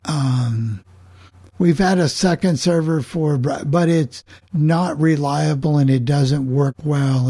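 A man hosting a casual group discussion, explaining things in a measured way. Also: mid-range voice, warm tone, slightly rough, dry recording, clean studio sound. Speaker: host of a group coffee club chat on an internet radio station.

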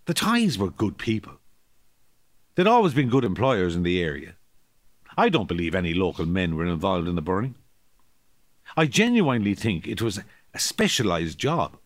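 Plain-spoken Irish local, low, dry-recorded, emphatic, for radio drama. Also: male voice, no room sound. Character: plain-spoken Irish local man insisting on his opinion. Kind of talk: radio drama acting, conversational.

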